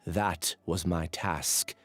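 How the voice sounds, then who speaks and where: An actor playing a troubled misfit researcher in a video game, guarded yet earnest in manner. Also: male voice, low voice, steady tone, drawn-out words, a bit bright, no echo, soft background music, exaggerated voice. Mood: confessing.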